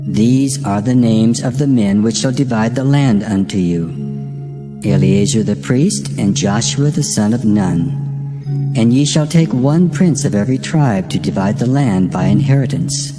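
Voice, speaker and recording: A man's voice: mid-range voice, a little slow, lightly acted. Guy doing god in a scripture drama, solemn and authoritative male voice, music behind it. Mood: solemn command.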